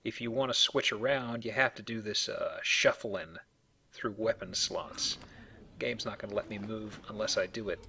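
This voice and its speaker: A man's voice: lowish voice, steady tone, moderate pace, sharp tone, slight background noise. A man hosting a let's play, explaining things casually with a joking manner. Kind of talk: casual game commentary.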